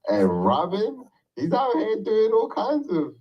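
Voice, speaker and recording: A man's voice: high voice, wildly swooping pitch, measured pace, distant mic, dull audio, heavy background noise. A man chatting casually as co-host, brash and swearing freely, laughing often. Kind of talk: casual chat.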